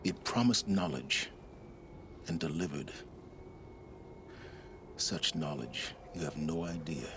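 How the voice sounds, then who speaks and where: An actor playing an enigmatic, cynical older male scholar in a video game cutscene, eloquent and measured. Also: extremely low pitch, animated, background noise, full character voice. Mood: confessional. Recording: loud music behind the voice.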